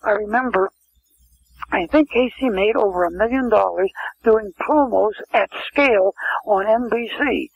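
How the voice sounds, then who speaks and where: Older male interview guest, high gravelly husky voice, rambling anecdotes, muffled lo-fi line. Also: unhurried. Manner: rambling anecdotal, frequent restarts and asides. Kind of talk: casual storytelling interview. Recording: muffled lo-fi phone-quality sound.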